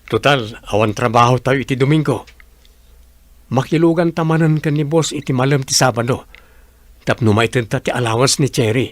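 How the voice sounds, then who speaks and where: A man's voice: mid-range voice, normal speed, no room sound, lightly acted. A man with an Ilocano accent playing a devoted, generous young village suitor in a radio drama. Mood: persuasive.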